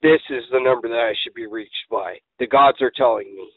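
A man playing an eccentric, muddled, easily excited prank caller who rambles and repeats himself in grandiose words over the phone. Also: medium pitch, a little fast, no echo, natural voice. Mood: rambling, insistent. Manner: rambling, repetitive, theatrical, grandiose wording. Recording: tinny lo-fi phone call.

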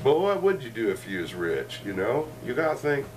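A man's voice: medium pitch, sing-song, a little fast. Middle-aged man rambling from across the room. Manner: joking, meandering, with frequent asides. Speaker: show host. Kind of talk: rambling casual chat. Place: cluttered living room, indoors. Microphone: fixed camera across the room. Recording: distant camera mic, faint room noise.

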